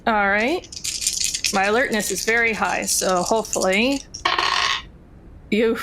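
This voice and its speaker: A woman's voice: mid-range voice, unhurried, fairly bright tone, a little hiss or hum, poor audio. A woman playing a tabletop role-playing game, chatting informally and bluntly, in and out of character. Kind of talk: casual game chat, in and out of character.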